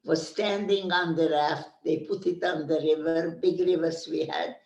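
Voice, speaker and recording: An older woman from Carpathian Ruthenia, remembering her hometown in an oral history interview, pausing often to search for words. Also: low-pitched, steady tone, vocal fry, a little mellow, distant mic. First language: Hungarian. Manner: slow, searching for words with many pauses. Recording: echoey room, speaker off mic.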